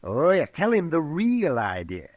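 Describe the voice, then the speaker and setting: A man's voice: on the high side, wildly swooping pitch, unhurried, dry recording, clean studio sound. An actor playing an irascible old frontier doctor in a radio western, testy and sarcastic, sputtering as he goes. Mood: scornful.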